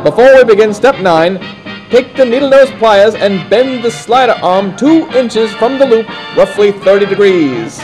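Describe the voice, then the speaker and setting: Man, high-pitched voice, scripted instructional narration, deliberate folksy delivery, faint music behind. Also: expressive, poor audio. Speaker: instructor narrating a how-to film.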